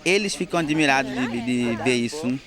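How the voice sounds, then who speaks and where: A man's voice: medium pitch, fairly quick, a crowd in the background. A man, a tour guide, speaking plainly and unhurriedly in an interview. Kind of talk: interview answer.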